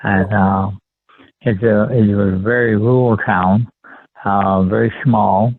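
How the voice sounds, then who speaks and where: Man in his seventies, Texan accent, low-pitched, halting polite recollection, narrow phone-like audio. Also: steady tone, vocal fry, no room sound. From rural Texas, USA. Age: 70s. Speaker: interview guest. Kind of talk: conversational personal recollection. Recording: tinny phone-line sound.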